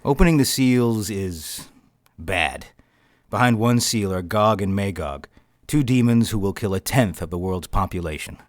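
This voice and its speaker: Grave bishop, lowish voice, measured and formal, learned, weary, dry close studio sound, audio drama. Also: male voice, animated, no room sound.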